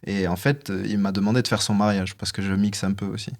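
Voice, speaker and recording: A man's voice: lowish voice, fast. A male musician guest in a radio studio, chatting casually and laughing often, hunting for his words. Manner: lively, laughing, searching for words. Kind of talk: casual interview chat.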